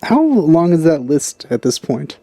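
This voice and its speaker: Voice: mid-range voice, sing-song, measured pace, dark tone. A let's player giving casual gameplay commentary in an offhand, wry way. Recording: game music behind the voice. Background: video game music.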